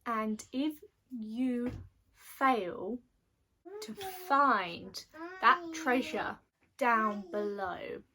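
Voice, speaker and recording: Storybook lizard wizard villain played by a woman: cross, commanding, higher-pitched, drawn-out delivery. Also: pushed and bright, full character voice, rough recording. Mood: threatening. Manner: commanding, threatening, indignant. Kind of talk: storybook character voice.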